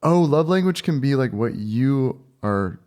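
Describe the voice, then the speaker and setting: A man chatting casually as a podcast co-host, joking with deadpan exaggeration. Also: medium pitch, measured pace, clean tone. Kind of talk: casual comedic banter.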